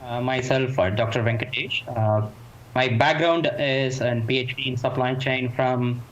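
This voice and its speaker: A man lecturing in a halting, repetitive way during an online question-and-answer session. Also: mid-range voice, steady tone. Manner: halting, repeats words. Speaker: supply chain lecturer. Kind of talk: webinar question-and-answer talk. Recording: muffled, hissy online call.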